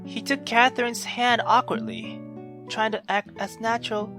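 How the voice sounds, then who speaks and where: A woman reading a visual novel aloud as a let's player, with casual, expressive, foul-mouthed reactions. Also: a little high, moderate pace, music in the background. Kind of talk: casual reaction commentary and read-aloud narration.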